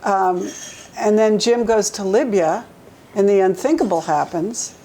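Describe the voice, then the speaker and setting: A woman's voice: medium pitch, unhurried, mellow, a little hiss or hum. A woman hosting an interview, asking chatty, leading questions with frequent restarts. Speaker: talk show host. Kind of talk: conversational interview.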